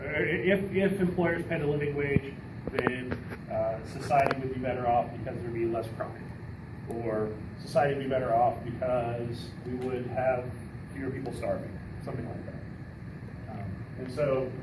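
A man in his thirties giving an informal, explanatory classroom lecture as a coach, casual and often rephrasing mid-sentence, picked up by a camera a few metres away. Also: mid-range voice. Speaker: debate coach teaching a judges' clinic. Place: indoor classroom at a whiteboard. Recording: roomy echo, distant mic, background noise.

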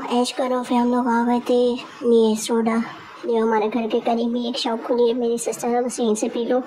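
A woman's voice: fairly high pitch, dark tone, a little hiss or hum, rough recording. A young woman narrating her vlog in a chatty, giggly way, telling viewers about her evening out. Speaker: vlogger. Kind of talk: casual voice-over vlog narration.

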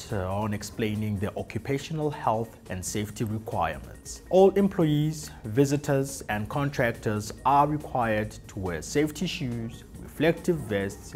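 Male presenter for a factory safety video: slow, deliberate delivery, lively pitch, lapel mic with soft music beneath. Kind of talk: scripted corporate safety narration. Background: soft background music.

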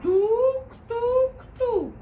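Female toddler teacher, very high and slow, playful sing-song delivery, recorded in an echoey room. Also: warm tone, slight background noise. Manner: sing-song, drawn-out child-directed speech. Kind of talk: playful instruction to small children, with rhymes. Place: indoor children's playroom. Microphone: distant camera. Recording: echoey room, distant microphone, music behind.

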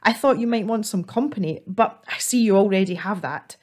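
A woman's voice: mid-range voice, brisk, a little mellow, close to an everyday voice. A woman voicing a kind, flustered village woman in a storybook, who speaks in a sing-song, apologetic way.

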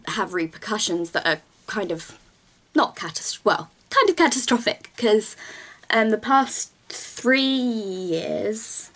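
A young woman films a relaxed vlog from a small bedroom, close to the camera. Her voice is a bit croaky this morning, and she rambles on with plenty of ums and corrects herself mid-sentence. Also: medium pitch, slow. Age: young adult. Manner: chatty, rambling, lots of ums and self-corrections. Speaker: vlogger. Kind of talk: casual talking-head vlog while doing makeup. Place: small bedroom with bookshelves, indoors. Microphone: camera at close range.

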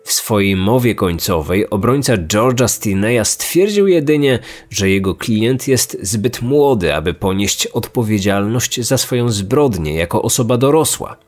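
A man's voice: fairly low pitch, lively intonation, a little slow, few pauses. A man reading a scripted true-crime narration as a podcast narrator. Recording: music under the voice. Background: background music.

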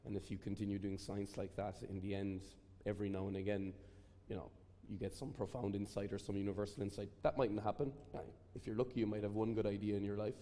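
A man's voice: on the low side, flat delivery, quick pace, hardly any pauses, dark tone. A man answering casually on an academic panel in a blunt, candid, self-deprecating way. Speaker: panelist, physicist. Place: large hall with seated audience. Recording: echoey hall, faint room noise.

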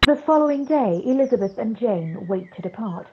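A woman's voice: a little high, expressive, normal speed, background noise. A woman reading scripted scene narration for a radio play. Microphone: video call. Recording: dull video-call audio.

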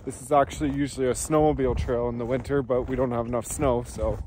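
Rambling, relaxed man vlogging on the go. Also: mid-range voice, fast talker, slight background noise. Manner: relaxed, rambling with many fillers. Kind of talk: casual vlog chat. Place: in a truck and outdoors on a snowy trail. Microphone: phone held at arm's length.

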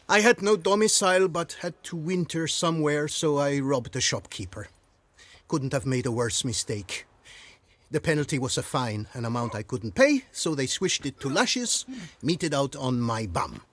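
A high-pitched, cocky jailbird. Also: male voice, fairly bright tone, full character voice. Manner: theatrical, chatty, swaggering. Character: cocky, roguish male jailbird, self-styled prison king. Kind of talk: video game voice acting.

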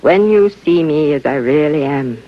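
An American actress playing a calm, wistful woman psychiatrist of sixty-six in a 1950s radio drama. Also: sits low, measured pace. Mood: wistful, gentle. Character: gentle, wistful sixty-six-year-old woman psychiatrist aboard spaceship. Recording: dull vintage radio recording.

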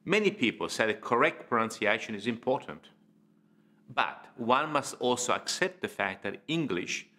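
A man in his fifties giving an instructional talk to camera as a speaking trainer, with deliberate, emphatic phrasing and pauses. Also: medium pitch, sing-song, pushed and bright. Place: indoor studio.

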